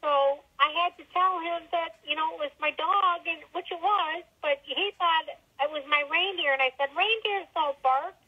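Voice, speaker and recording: A woman playing a tiny magical boy Christmas elf who chats cheerfully and rambles through stories in a small, high voice. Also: moderate pace, hardly any pauses. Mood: cheerful, chatty. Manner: chatty, rambling storytelling. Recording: dull, tinny radio-like sound.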